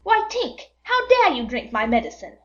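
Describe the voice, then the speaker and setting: A bold, eager young boy hero cries out commands in a very high voice that leaps all over. A woman reads him aloud as she tells the story. The sound is dull and lo-fi. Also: sing-song, fairly bright tone. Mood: alarmed. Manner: bold, commanding, quick to exclaim.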